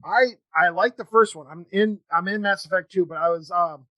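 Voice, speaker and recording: A man hosting a casual interview chat, talking in a halting, rambling way with false starts. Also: high voice, bright, forceful tone, no room sound, muffled recording. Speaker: podcast host.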